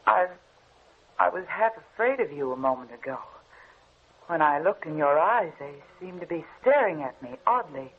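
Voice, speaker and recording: A woman's voice: sits low, animated, measured pace, long pauses, slightly rough, full character voice. An actress playing a tender, anxious young woman in love, in an old radio drama style. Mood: tender, concerned. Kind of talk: 1940s radio drama acting. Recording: tinny vintage radio, faint hiss.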